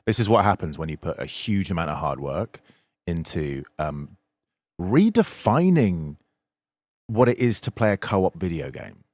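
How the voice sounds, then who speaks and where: A man's voice: sits low, very animated, measured pace, a little mellow, no echo. A man from the UK co-hosting a podcast, chatting casually with emphatic repetition for stress. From United Kingdom. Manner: emphatic, repeats words for stress. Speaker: podcast co-host. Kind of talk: casual opinionated chat.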